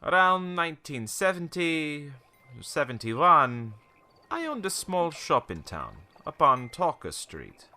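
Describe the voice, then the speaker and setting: A man's voice: on the high side, huge pitch swings, slow, sharp tone, full character voice. An actor playing a wary, cynical old townsman who tells cryptic stories in a radio play, in a theatrical, rambling way. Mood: reminiscing. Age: older. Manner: cryptic, theatrical, rambling storytelling with knowing chuckles. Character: wary, cynical old male townsman, former shopkeeper, storyteller.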